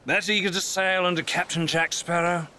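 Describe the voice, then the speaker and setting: A man's voice: high voice, flat delivery, moderate pace, pushed and bright, a little hiss or hum. A man voicing a cocky, roguish pirate captain with a loose, slurred swagger. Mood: wry.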